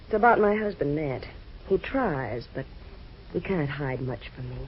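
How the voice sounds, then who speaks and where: A sultry scheming wife. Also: female voice, low voice, expressive, dull audio, a little hiss or hum. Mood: coaxing. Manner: smooth and coaxing, drawn-out and insinuating. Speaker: client's wife trying to buy off the detective. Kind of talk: 1940s radio acting.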